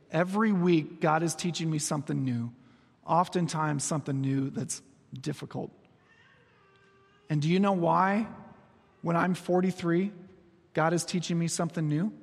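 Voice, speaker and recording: 40s guy preaching, high-ish voice, jokey stories, soft then quick, big echoey hall. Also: gravelly, slightly rough. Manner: storytelling with humor, shifting from soft and slow to quick and lively. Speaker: pastor. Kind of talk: sermon. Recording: long reverb of a large hall.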